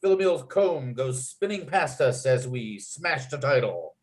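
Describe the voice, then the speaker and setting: A man's voice: mid-range voice, animated, a little slow. A man reading script stage directions aloud as narrator, with clipped, dramatic scene headings. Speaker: narrator and writer.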